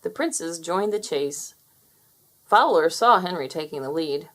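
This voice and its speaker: A woman reading a children's picture book aloud in an expressive storytelling manner. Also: low-pitched, measured pace. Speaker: storybook reader. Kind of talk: children's read-aloud narration.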